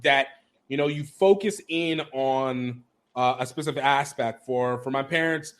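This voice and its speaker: A man hosting a casual interview podcast. He chats in a lively, laughing way and acts out quoted voices with big jumps in pitch. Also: a little slow. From Nigerian family, raised in America. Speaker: podcast host.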